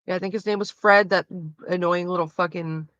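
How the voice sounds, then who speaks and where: A woman's voice: on the low side, fairly even intonation, a little fast, fairly bright tone, no echo, highs cut off. A woman with a Canadian accent chatting casually with her livestream viewers, rambling and swearing freely. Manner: rambling and profane, loose with frequent fillers.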